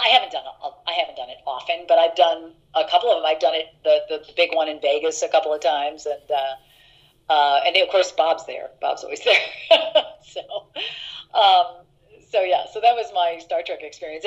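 A middle-aged actress telling stories in an interview, chatty and laughing, with many fillers and restarts. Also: female voice, lo-fi sound. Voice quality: deeper voice. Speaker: actress guest. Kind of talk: casual interview anecdotes.

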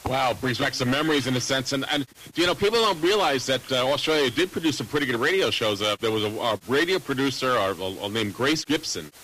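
A man's voice: mid-range voice, expressive, brisk, pushed and bright, low-quality recording. A man from the United States reminiscing casually as a panelist on a radio talk show.

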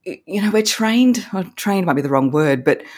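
A woman with an Australian accent, a voice coach explaining confidence tips in a relaxed interview, laughing often as she talks. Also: medium pitch, normal speed. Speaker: voice coach guest. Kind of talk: conversational interview.

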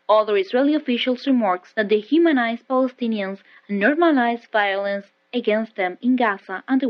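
A woman with a fairly high voice reads scripted radio news. The recording is dry, with little room sound, and somewhat dull and limited in its frequency range. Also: no echo. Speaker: radio newsreader. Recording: dull, band-limited shortwave radio audio.

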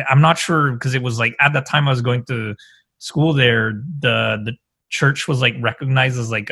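A man's voice: mid-range voice, bright, forceful tone, slightly rough, dry recording. A man from the Philippines, a guest in a casual conversation, rambling and filling his talk with "like" and "um". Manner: rambling, heavy use of "like" and "um".

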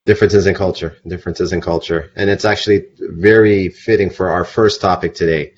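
A man's voice: deep voice, steady tone, brisk, highs cut off, slight background noise. A man hosting a casual podcast chat, upbeat and leading the talk with questions. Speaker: podcast host.

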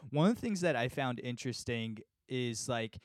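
A man's voice: mid-range voice, lively intonation, moderate pace, dry recording, studio quality. A young man hosting a casual interview asks long, meandering questions packed with "like.". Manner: rambling questions, filled with "like".

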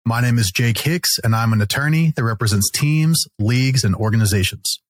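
Texan man, attorney co-host, friendly measured delivery, mid pitch, dry close mic. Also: no room sound. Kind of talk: podcast interview.

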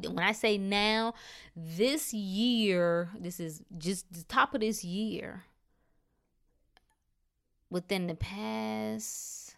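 Casual woman vlogger, mid-pitched, who hammers points home by repeating them. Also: fairly bright tone. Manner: emphatic, repeats phrases, chatty asides. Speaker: health and detox vlogger. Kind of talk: casual talk to camera.

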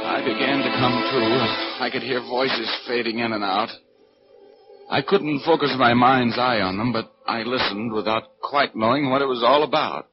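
A hard-boiled American private eye played by a man, wry and animated, in mid-range pitch. It is 1940s radio acting, muffled, with music behind the voice. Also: normal speed, lightly acted. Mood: wry narration. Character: wisecracking hard-boiled male private detective, narrating his case. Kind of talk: 1940s radio acting, first-person narration.

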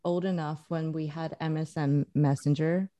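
Host, casual, laughs often, quick backchannels, dry close sound. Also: medium pitch, steady tone, mellow, dry recording. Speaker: podcast host. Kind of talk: casual interview chat.

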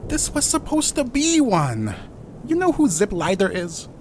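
Casting: fumbling, over-eager middle-aged trainee sleuth for noir comedy audio, very animated intonation, noisy rumbling recording. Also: male voice, unhurried, heavily acted. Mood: panicked, sheepish. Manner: flustered, theatrical. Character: eager, fumbling overweight man over fifty, would-be detective. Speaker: actor in an audio play. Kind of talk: comic noir radio play acting.

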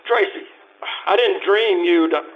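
A stuffy fiancé in an old-time radio play played by a man, indignant and easily flustered. His fairly high voice stammers through formal phrases on a muffled recording. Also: a little slow.

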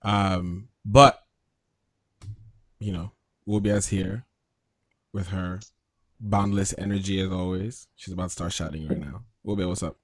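A man's voice: low-pitched, steady tone, unhurried, pauses often, no room sound. A young man hosting a casual podcast rant show, hyping the audience and joking with his co-hosts. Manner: hype opener, then loose joking chat with laughs. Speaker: host.

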